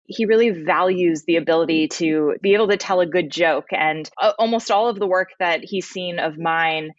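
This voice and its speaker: A woman's voice: on the low side, normal speed, dry recording, muffled recording. A young woman, a playwright, chatting casually in an interview.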